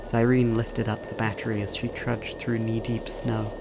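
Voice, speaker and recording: A man's voice: on the low side, flat delivery, moderate pace, vocal fry, loud music behind the voice. A man reading scripted narration for an audio drama as its narrator.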